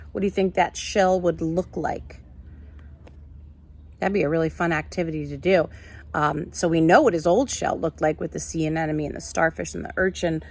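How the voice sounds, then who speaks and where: A woman teacher reading a picture book aloud in an animated way, talking to children. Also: fairly low pitch, husky. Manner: animated, addressing children with questions.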